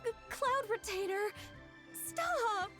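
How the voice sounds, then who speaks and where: A woman voicing a polite, diligent, shy young secretary in a video game, gentle and a little hesitant. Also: very high voice, animated, takes it slowly, heavily acted. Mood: embarrassed. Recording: faint game music behind voice.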